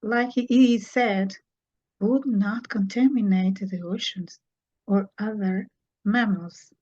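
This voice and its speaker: A woman's voice: mid-range voice, takes it slowly, darkish tone, highs cut off. A woman from Portugal with a Portuguese accent, speaking English as a second language and answering interview questions about her book, hesitant with many fillers. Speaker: author.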